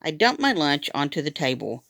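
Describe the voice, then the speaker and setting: A woman reading a children's story aloud in an animated, expressive way, acting out the dialogue as she goes. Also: low-pitched, moderate pace, vocal fry, dry recording, clean studio sound.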